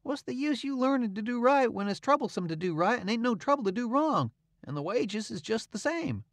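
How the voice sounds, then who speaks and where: A man's voice: extremely high pitch, sing-song, brisk, fairly bright tone, dry recording, studio quality, voice pushed up, full character voice. A man voicing a frightened young boy, pleading and stammering. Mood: arguing with himself. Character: frightened young boy on a raft, quick-talking.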